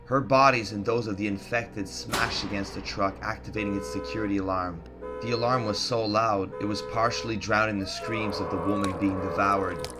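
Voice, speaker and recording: A man's voice: on the low side, steady tone, normal speed, music in the background. A man reading a first-person horror story in a tense, dramatic, scripted narration style.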